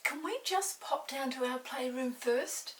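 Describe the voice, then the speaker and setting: A woman's voice: high voice, a little slow, voice pushed up. A woman voicing an eager, earnest schoolboy with a secret in a children's storybook. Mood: eager, pleading. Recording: hissy, distant room microphone.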